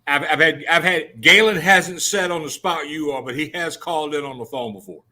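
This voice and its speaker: Man, radio host, bright edgy tone, casual joking chat. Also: fairly high pitch. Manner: joking, folksy, halting restarts. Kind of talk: casual on-air interview.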